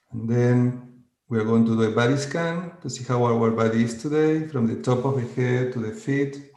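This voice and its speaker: Brief: man, coach on a video call, slow gentle guidance, roomy sound. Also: mid-range voice. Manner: slow, gentle, inviting instructions. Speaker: coach and conference presenter. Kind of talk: guided centering exercise.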